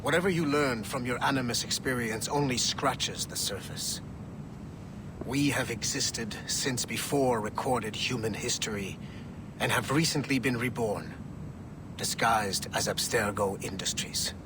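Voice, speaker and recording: An actor playing a cold, authoritative corporate Templar in a video game, with measured, imperious delivery. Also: male voice, close to an everyday voice. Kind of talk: dramatic video game acting. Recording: rumbling noise behind the voice.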